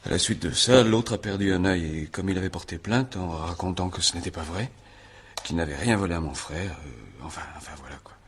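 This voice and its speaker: An actor playing a sullen, withdrawn young male farmer in a French radio drama, raspy, answering in halting, evasive fragments. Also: sits low, a little hiss or hum. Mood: reluctant, defensive. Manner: hesitant, evasive, taciturn, mumbled halting answers. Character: sullen, withdrawn 23-year-old male farmer accused of murder.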